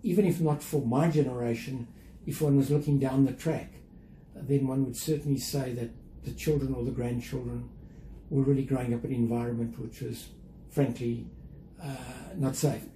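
Older south african guy being interviewed, really mellow, measured and a bit hesitant, roomy sound. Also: medium pitch, fairly even intonation. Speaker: interview guest, museum chief executive. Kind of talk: reflective interview conversation. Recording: roomy echo, faint background noise.

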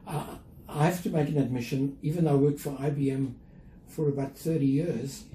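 Older south african guy being interviewed, really mellow, measured and a bit hesitant, roomy sound. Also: mid-range voice, fairly even intonation. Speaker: interview guest, museum chief executive. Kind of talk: reflective interview conversation. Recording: roomy echo, faint background noise.

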